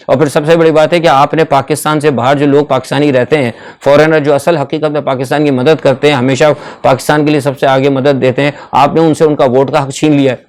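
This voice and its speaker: Higher-voiced Pakistani man, opinionated political vlogger. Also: darkish tone. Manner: conversational, opinionated, rhetorical questions, mixes in English words. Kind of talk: unscripted political commentary to camera.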